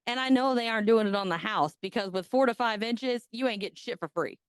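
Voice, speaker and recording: A woman's voice: on the high side, fast, smooth, no echo, clean studio sound. A woman co-hosting a podcast, telling a story in casual chat and cracking crude jokes with a laugh. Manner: bawdy, joking, laughs often. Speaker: podcast co-host.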